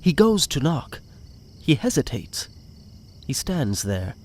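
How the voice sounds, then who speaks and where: A man's voice: medium pitch, big pitch swings, takes it slowly, darkish tone, a little hiss or hum. A man reading scripted narration for an audio drama. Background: music.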